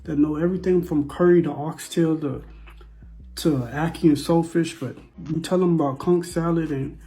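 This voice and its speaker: A man's voice: a little high, fairly even intonation, normal speed, warm tone, faint music behind, poor audio. A young man with an American accent, from the Bahamas, giving his opinion in a casual monologue and repeating phrases for emphasis. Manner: repeats phrases for emphasis, loose slangy talk. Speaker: vlogger.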